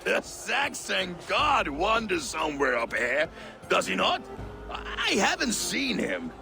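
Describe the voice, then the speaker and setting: A gravelly, drunken Viking. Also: male voice, high voice, wildly swooping pitch, slow pace, over music, heavily acted. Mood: drunken musing. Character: drunken, melancholy, boisterous Viking warrior. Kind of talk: video game dramatic acting.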